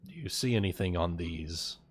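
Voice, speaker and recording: A man's voice: low-pitched, lively intonation, moderate pace, a little hiss or hum. A man playing a tabletop roleplaying game, talking casually in and out of character.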